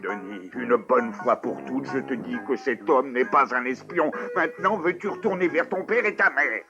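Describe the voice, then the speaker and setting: An actor voicing a weary, exasperated middle-aged neighbour in a dubbed cartoon. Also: male voice, mid-range voice, moderate pace, dull audio, music in the background, close to an everyday voice.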